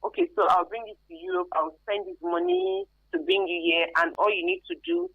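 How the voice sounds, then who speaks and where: A woman's voice: extremely high pitch, sing-song, fairly quick, vocal fry, no room sound. A woman with a Nigerian accent explaining things conversationally as an interview guest, often repeating phrases for emphasis. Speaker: radio guest, anti-trafficking expert. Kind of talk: informal explanatory interview answers. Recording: muffled lo-fi radio sound, dry.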